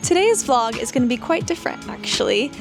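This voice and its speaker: Female vlog host, bright and chatty, higher register, music bed behind. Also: sing-song, a little fast. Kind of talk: casual vlog intro and interview. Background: background music.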